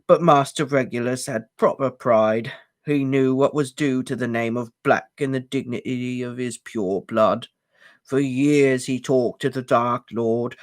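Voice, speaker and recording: A man voicing a wretched old male house-elf, servile and bitter, who croaks out his words slowly and haltingly. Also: medium pitch, no room sound, studio quality, close to an everyday voice. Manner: slow, halting, gulping for air. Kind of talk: audiobook character voice.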